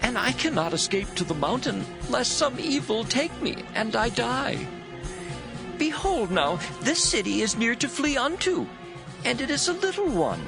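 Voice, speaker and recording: A man's voice: very high-pitched, exaggerated voice. A man playing Lot, a humble and anxious man pleading, in a dramatized Bible reading. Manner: pleading, lively intonation. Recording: voice over clear music.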